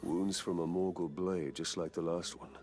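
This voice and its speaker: A man's voice: deep voice, fairly even intonation, normal speed, darkish tone, lightly acted. A man playing a grim, determined ranger warrior in a video game, speaking in a terse, grave, purposeful way. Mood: grim, resolute. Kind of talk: solemn video game cutscene acting.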